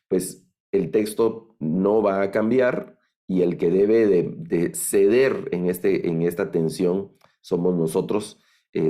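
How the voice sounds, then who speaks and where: A middle-aged man with a Guatemalan accent giving an online lecture on biblical languages, thoughtful and halting, with many fillers and restarts. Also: low-pitched, dark tone. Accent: Guatemalan Spanish. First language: Spanish. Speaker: Bible language instructor.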